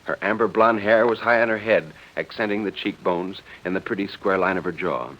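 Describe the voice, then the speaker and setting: A man narrating a dramatic story in 1940s radio style, with a literary, expressive delivery. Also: deep voice, fairly quick. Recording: dull vintage 1940s radio recording.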